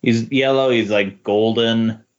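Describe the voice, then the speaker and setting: A man chatting casually about comics on a podcast, speaking in halting, tentative phrases. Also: fairly low pitch, fairly even intonation, dull audio.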